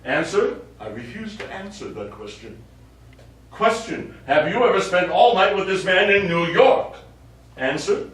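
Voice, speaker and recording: A man's voice: high voice, expressive, measured pace, a bit bright, far from the mic. Man acting a smug lecherous lawyer, echoey stage. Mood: amused, smug. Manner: eager, suggestive, chuckling. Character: lecherous, smug, none-too-bright male lawyer. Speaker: stage actor. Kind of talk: live stage comedy acting. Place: small theatre stage, distant microphone. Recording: echoey, noisy stage recording from afar.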